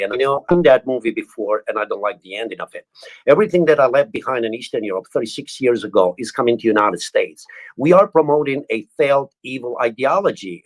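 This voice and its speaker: A man's voice: mid-range voice, low-quality recording. A middle-aged man from Albania with an Albanian accent, speaking earnestly as an interview guest and often restarting words. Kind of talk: interview answers.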